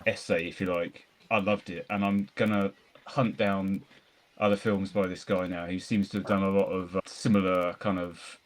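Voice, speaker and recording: Guy with a deep voice rambling keenly about movies on a podcast. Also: level tone, moderate pace. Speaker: podcast co-host reviewing films. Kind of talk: casual film discussion.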